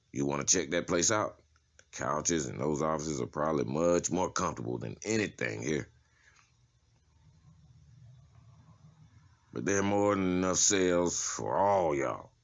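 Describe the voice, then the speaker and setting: Man playing a very deep-voiced, streetwise prison inmate. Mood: matter-of-fact. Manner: casual, slangy, profane. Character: tough, streetwise adult male prison inmate giving a tour. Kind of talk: comic-book dramatization, character acting.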